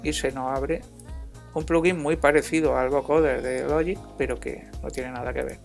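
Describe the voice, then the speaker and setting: A man with a mid-range, gravelly voice gives an informal software tutorial as an instructor. He explains step by step in a halting, hesitant manner, with some creak in his tone. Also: medium pitch, fairly even intonation, faint music behind. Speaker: music production instructor.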